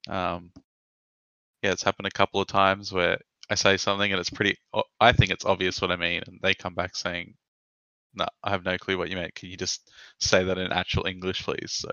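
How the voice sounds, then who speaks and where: An Australian man with a very low, flat voice that sounds bright and clear. He asks questions in a relaxed, easygoing way. The recording is dry and a little muffled. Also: brisk, no echo. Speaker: interviewer. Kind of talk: casual interview chat.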